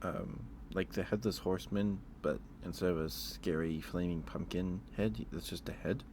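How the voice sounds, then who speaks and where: A man's voice: sits low, steady tone, normal speed, a little mellow, a little hiss or hum. A man chatting casually with livestream viewers as host, musing aloud in an informal, hesitant way.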